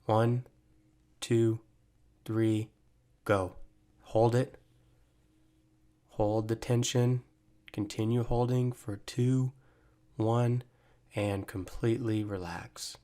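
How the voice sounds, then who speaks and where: Slow, low-voiced man guiding meditation. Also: fairly even intonation. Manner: gentle, measured instructions with long pauses. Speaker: meditation guide, mental performance coach. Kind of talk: guided body scan meditation.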